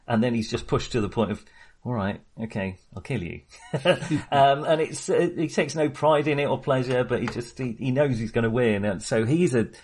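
A man's voice: medium pitch, normal speed, darkish tone, breathy edge. A man talking casually as a podcast host discussing films, enthusiastic but hesitant and rambling as he goes.

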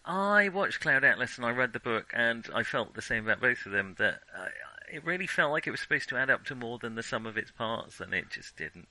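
A man's voice: mid-range voice, big pitch swings, a little fast, sharp tone, no echo, low-quality recording. A man co-hosting a podcast, casually discussing films in an opinionated way, often stopping to rephrase his thought. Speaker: podcast co-host.

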